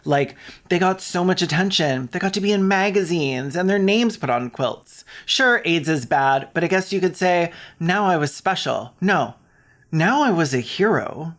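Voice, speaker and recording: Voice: medium pitch, expressive, moderate pace, fairly bright tone. A commentator delivering a scripted video essay with casual asides, in a sarcastic, mocking and sweary manner.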